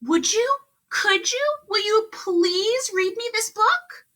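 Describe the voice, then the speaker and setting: A woman's voice: extremely high pitch, lively intonation, bright, forceful tone, pitched above the performer's own voice, exaggerated voice. A woman voicing a polite, hopeful young male dragon who asks pleadingly, in a children's storybook read-aloud. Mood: eager, pleading. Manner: polite, pleading, drawn-out delivery.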